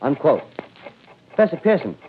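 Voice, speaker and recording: A man's voice: fairly high pitch, big pitch swings, normal speed. A man playing an earnest news reporter in a 1930s radio drama, speaking in a formal announcer manner. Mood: formal, attentive. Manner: formal, carefully enunciated announcer delivery. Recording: dull, noisy 1930s radio recording.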